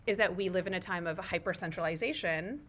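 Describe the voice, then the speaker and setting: Bright, crisp-sounding woman, urdu is her first language, talking at a live chat with a crowd listening, thoughtful and roundabout, lots of "right?". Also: fairly low pitch, fast, a little hiss or hum. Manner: thoughtful, expansive, frequent "right?" and "like". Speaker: guest speaker, foundation leader. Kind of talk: live fireside chat before an audience. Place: event room with audience.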